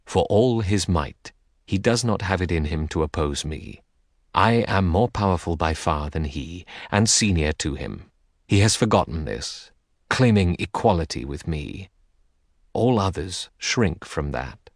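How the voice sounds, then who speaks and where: A proud king of the gods, as a male narrator plays him, gives stern orders in a very deep voice. The epic audiobook sound is dry and close. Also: expressive, a little slow, dry recording, heavily acted. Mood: stern command. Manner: authoritative, stern. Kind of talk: dramatic audiobook character reading.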